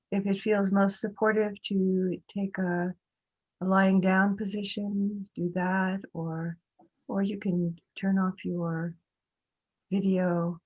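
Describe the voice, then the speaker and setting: A woman with a lowish, mellow voice guides a meditation at a very slow pace. Her phrasing is gentle and hesitant, with long pauses between short phrases. The sound is slightly dull, like an online call. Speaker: meditation teacher.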